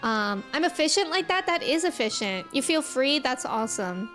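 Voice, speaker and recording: A woman's voice: high-pitched, very animated, normal speed, fairly bright tone. A young woman streaming a game, chatting casually and greeting viewers. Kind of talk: casual live gaming commentary. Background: game music.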